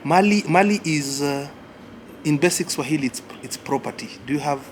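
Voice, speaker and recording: A man's voice: fairly high pitch, brisk, a little hiss or hum. A man with a Kenyan accent, chatting casually and teaching slang, often repeating "yeah". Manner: laid-back, repeats words, lots of "yeah". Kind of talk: casual chat. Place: cafe at night.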